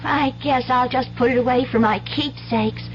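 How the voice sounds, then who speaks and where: High-pitched earnest little country girl. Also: fairly even intonation, moderate pace. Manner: folksy rural grammar, eager and wide-eyed. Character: earnest, trusting country schoolgirl, young daughter. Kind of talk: 1970s radio drama acting. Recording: dull, hissy old radio broadcast.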